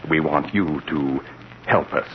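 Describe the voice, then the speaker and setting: An actor playing an earnest, persuasive scientist's assistant in a 1940s radio drama, formal and insistent. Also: male voice, rumbling low, takes it slowly. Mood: urgent, persuasive. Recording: muffled, noisy 1940s radio recording.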